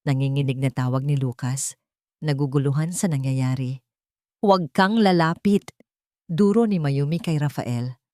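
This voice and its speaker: A woman's voice: rumbling low, normal speed, dark tone, dry recording, studio quality. A woman reading a scripted story as a dramatic narrator.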